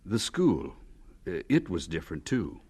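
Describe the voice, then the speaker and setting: An actor playing a sober interviewer in a radio drama, putting measured questions. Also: male voice, low-pitched, huge pitch swings, dark tone, husky, heavily acted. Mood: measured, probing. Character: sober male interviewer questioning an old professor.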